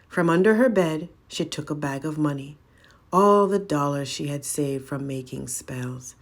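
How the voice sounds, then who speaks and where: A woman storyteller reading a folk tale aloud in a dramatic narrating style. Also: sits low.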